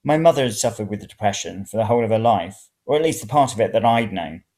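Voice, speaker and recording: A narrator reading first-person serial fiction in an expressive, dramatic way. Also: medium pitch, quick pace. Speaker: story reader.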